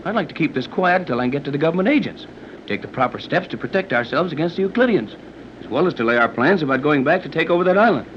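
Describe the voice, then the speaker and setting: A breezy American man of action voiced by a radio actor, shrewd and folksy. His delivery is fast and swings widely in pitch, in old 1930s serial style on a muffled recording. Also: lively intonation, a little hiss or hum, close to an everyday voice. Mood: shrewd, concerned.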